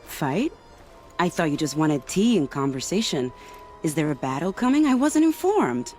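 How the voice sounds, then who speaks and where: A woman's voice: low voice, very animated, moderate pace, a little mellow. A woman acting a poised, sly spy with a fierce streak in a video game, arch and dramatic. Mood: sly, playful. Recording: music behind the voice.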